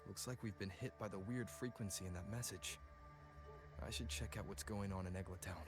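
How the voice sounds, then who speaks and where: Game role: actor as calm young male hero, curious, lowish voice, loud music over him. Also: brisk, natural voice. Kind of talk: video game character acting.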